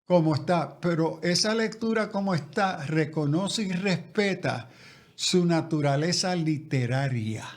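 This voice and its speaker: Slow, careful pastor explaining theology. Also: male voice, on the high side. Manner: slow, careful, lecturing with restarts. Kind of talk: explanatory interview answers.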